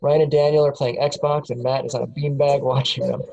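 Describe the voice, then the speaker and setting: A man's voice: medium pitch, fairly quick, vocal fry, highs cut off. Man reading stage directions steadily. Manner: plain, steady, chuckles at times.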